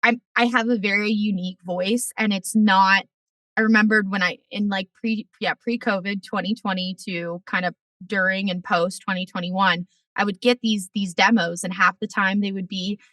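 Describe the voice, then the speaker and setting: Raspy outspoken woman host. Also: mid-range voice, steady tone, moderate pace, sharp tone, dry recording, recorded from a distance, low-quality recording. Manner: outspoken, swears freely, emphatic. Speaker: podcast host. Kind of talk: casual podcast conversation.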